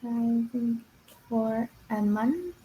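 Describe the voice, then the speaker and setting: Hesitant Vietnamese-accented female student, slow, a bit high, faint background hiss. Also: expressive, mellow, low-quality recording. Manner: shy, short hesitant answers.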